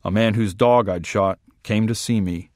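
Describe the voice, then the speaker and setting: Wry deadpan male story reader. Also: lowish voice, moderate pace, no echo, clean studio sound. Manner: wry, deadpan first-person narration. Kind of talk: literary short story reading.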